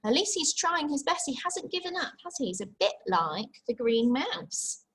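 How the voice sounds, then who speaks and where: A woman's voice: high voice, sing-song, normal speed, a bit bright, slight background noise. A woman teacher reading a picture book aloud to young children, expressively, with simple chatty asides and questions. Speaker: infant school teacher.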